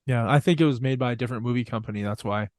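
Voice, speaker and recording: A man's voice: mid-range voice, racing, a little mellow, dry recording, clean studio sound. Joking man with a mid-range voice. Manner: joking, laughs through his stories, stammers on restarts. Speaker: podcast co-host. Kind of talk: crude casual chat.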